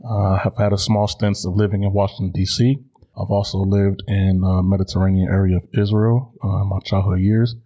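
Deep, husky American man hosting a podcast. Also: level tone, brisk, warm tone, highs cut off. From Chicago, United States. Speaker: podcast host. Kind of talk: solo podcast monologue, conversational.

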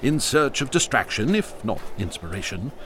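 A man's voice: medium pitch, very animated, normal speed, a bit bright, a little hiss or hum. Wry, theatrical man narrating. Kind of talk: scripted storybook narration.

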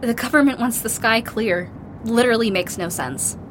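A woman's voice: on the high side, moderate pace, a bit bright, a little hiss or hum, lightly acted. A young woman playing a supportive but exasperated band member in an audio drama, speaking in a dry, understated way. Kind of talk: naturalistic audio drama acting.

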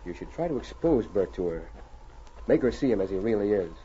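An actor playing a steady, courteous police sergeant of the frontier in old radio drama style. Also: male voice, fairly low pitch. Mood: calm, reassuring. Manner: measured, courteous. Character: steady, courteous, friendly Mountie sergeant hero. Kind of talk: 1940s radio acting. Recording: dull, hissy old radio recording.

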